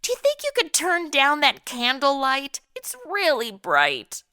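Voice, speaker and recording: A woman's voice: high voice, huge pitch swings, measured pace, sharp tone, exaggerated voice. A woman playing a sarcastic modern party girl in an audio comedy, slangy and blasé, acting and narrating. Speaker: lead character and narrator.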